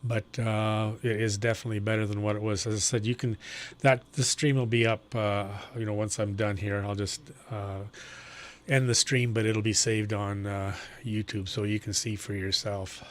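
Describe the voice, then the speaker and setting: A man's voice: on the low side, little pitch movement, moderate pace. A man working as an amateur radio operator, talking in a plain, explanatory, unscripted way during a radio contact. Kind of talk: unscripted ham radio conversation, technical advice. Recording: clear background noise and electrical hum.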